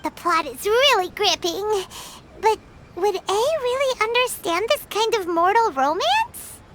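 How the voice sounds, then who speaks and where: A woman voicing a tiny, excitable female fairy companion in a video game, talking bluntly in a high, squeaky voice. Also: a little slow, noisy background, heavily acted. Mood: chatty. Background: game music.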